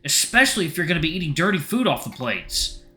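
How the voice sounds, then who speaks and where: Man voicing a higher, bright, teasing young man. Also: big pitch swings, fast talker. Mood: playful, bossy. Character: playful, caring young man, teasing boyfriend.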